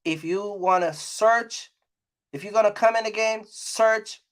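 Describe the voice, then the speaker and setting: A man with a distinctly high voice talks to his viewers as a vlog host. His delivery is casual and colloquial, full of opinion. He leans on repetition to press each point emphatically. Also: measured pace. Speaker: YouTube host, trucking business creator.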